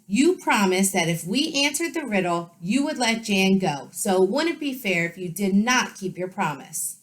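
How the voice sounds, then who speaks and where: A woman's voice: mid-range voice, sing-song, normal speed, lightly acted, low-quality recording. A woman voicing an earnest, fair-minded girl rag doll who speaks politely but frankly in a children's storybook. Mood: protesting. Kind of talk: children's storybook read-aloud.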